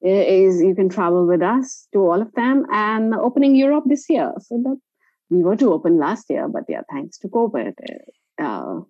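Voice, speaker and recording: Bright Indian-accented woman, lo-fi audio. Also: mid-range voice, sing-song, no echo. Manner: informal, halting, frequent hesitations. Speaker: interview guest, travel company founder. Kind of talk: casual interview conversation.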